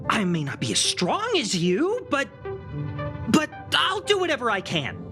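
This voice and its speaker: A woman's voice: a little high, wildly swooping pitch, a little slow, music in the background, heavily acted. A woman voicing a proud, flustered young video game character who is huffy and dramatic and hides affection. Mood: pleading, defiant.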